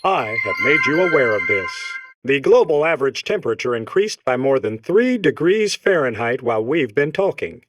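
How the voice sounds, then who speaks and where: Smug, swooping villainous robot. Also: male voice, medium pitch, very animated, moderate pace, hardly any pauses, a bit bright, no echo. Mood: smug, proud. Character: smug villainous robot core proudly causing climate change. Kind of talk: comic game character monologue.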